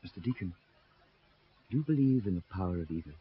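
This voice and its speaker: A man's voice: low-pitched, lively intonation, fast talker, slightly rough, exaggerated voice. An actor playing a solemn, foreboding local man who warns strangers in a radio horror play. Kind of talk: 1970s radio horror drama acting. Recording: hissy, dull 1970s radio recording.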